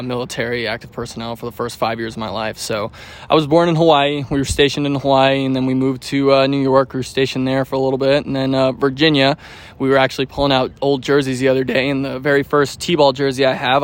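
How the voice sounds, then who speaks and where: A man in his twenties, a baseball pitcher, answering pregame interview questions in a relaxed, rambling way with many fillers and restarts. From United States. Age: 20s.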